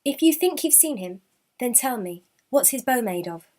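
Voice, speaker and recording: A woman storyteller voices a stern folktale woman who guards her invisible brother. The voice is fairly high and commanding, questioning sharply and never fooled. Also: expressive, moderate pace, a little mellow. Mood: challenging. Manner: commanding, probing, unfooled. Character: stern, knowing sister of an invisible being.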